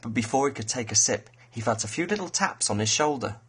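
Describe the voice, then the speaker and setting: A man's voice: mid-range voice, animated, quick pace, a bit bright, slightly rough. A man, an author reading his children's fairy tale as a storyteller.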